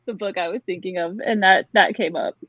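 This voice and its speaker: A woman's voice: medium pitch, steady tone, brisk, no room sound, lo-fi sound. A woman chatting casually as a guest in a group game. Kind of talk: casual chat about books.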